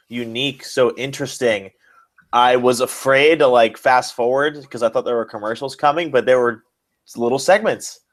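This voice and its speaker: A man co-hosting a podcast, chatting casually as an enthusiastic fan and swearing freely. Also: medium pitch. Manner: enthusiastic, profane. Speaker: podcast co-host. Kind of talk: casual wrestling fan chat.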